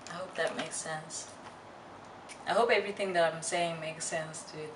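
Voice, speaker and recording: A young woman vlogger chatting casually to her viewers in a candid, halting, reflective way with slangy asides. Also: low-pitched, fairly even intonation. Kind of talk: casual personal chat to viewers. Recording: roomy, distant mic, faint noise.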